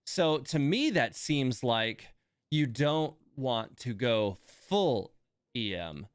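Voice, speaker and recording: A man doing casual live game-stream commentary, thinking out loud in a musing, trailing way. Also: medium pitch, expressive, slow pace, clean tone, no room sound, studio quality. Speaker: live streamer playing and reviewing a video game.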